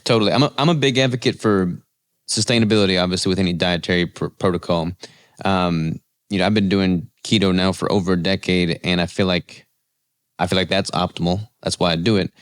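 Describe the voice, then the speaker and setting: Podcast host guy, deep smooth voice, agrees a lot then asks long questions. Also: steady tone, fairly quick, no room sound, studio quality. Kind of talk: interview host chat.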